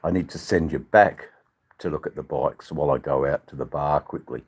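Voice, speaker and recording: An older man with an Australian accent and a husky voice, chatting casually to livestream viewers in a rambling, drawn-out, joking way. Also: a bass voice. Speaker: livestream channel host. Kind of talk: casual live chat with viewers.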